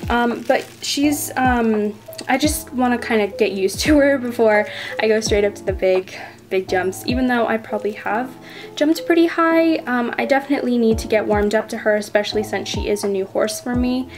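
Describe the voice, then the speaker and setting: A chatty teenage girl narrates her day at the barn in a casual vlog voice, with plenty of "um"s and "you know"s. Her voice sits a little high, and clear music plays underneath the voice-over. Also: normal speed. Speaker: toy horse vlog creator voicing a rider.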